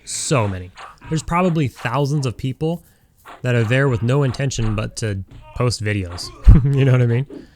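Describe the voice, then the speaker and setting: A man's voice: medium pitch, normal speed, a little mellow, a little hiss or hum. A man in his forties from Southern California, chatting casually and rambling with lots of fillers while he plays a game. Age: 40s. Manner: rambling, many fillers and restarts. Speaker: gaming streamer.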